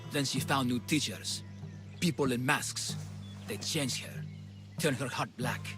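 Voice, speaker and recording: An actor playing a grave, devout ancient Greek priest and healer with a solemn, measured manner in a video game. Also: male voice, medium pitch, natural voice. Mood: earnest. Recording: game music behind the voice.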